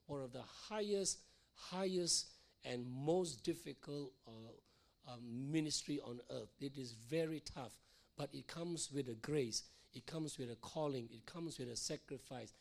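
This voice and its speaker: Male pastor, middle-aged, hoarse and gravelly, repetitive teaching sermon in church. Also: mid-range voice, a little mellow. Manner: repeats phrases, frequent "all right?" and "okay?" checks. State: hoarse, losing his voice.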